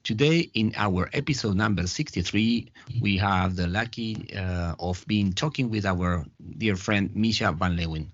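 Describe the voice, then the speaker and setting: A man hosts the interview in a low voice, close and dry but dulled by a lo-fi line. He pieces his questions together slowly, stopping and restarting as he searches for English words. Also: no room sound. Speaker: podcast host, artistic director. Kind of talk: casual interview conversation.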